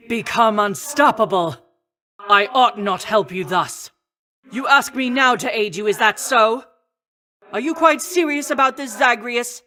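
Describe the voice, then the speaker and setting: A woman playing a noble, protective war goddess in a video game, speaking in formal, measured, old-fashioned phrasing. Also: lowish voice, a bit bright, lightly acted. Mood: stern, indignant. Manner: formal, measured, archaic stately diction. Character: noble female war goddess, poised, protective, confident mentor. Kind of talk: scripted video game voice acting.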